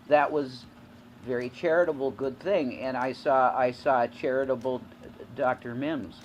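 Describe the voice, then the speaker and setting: A man's voice: medium pitch, steady tone, moderate pace, dull audio, slight background noise. A man rambling and repeating himself in a clip recorded in a hotel room. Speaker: streamer in a played clip. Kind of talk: rambling video address.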